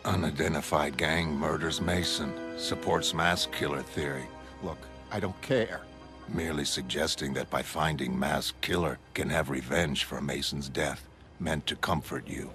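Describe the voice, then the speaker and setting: Man voicing a low, grim comic-book vigilante. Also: measured pace, music in the background. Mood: stiff, gloomy. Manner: clipped, articles dropped.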